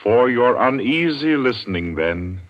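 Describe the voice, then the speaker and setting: A man announces and narrates a 1940s radio suspense drama in a dramatic, ominous, measured manner with suspenseful pauses. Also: mid-range voice, lively intonation. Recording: muffled vintage radio broadcast. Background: loud dramatic orchestral music.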